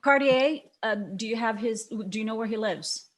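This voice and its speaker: A woman acting a police detective in a screenplay table read, questioning in a tentative, halting way. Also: on the high side, natural voice. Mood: probing.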